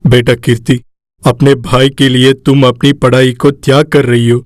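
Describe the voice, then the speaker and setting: A man voicing a poor, loving father, speaking solemnly in an animated moral story. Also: mid-range voice, normal speed, no echo, lightly acted. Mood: tender, grateful.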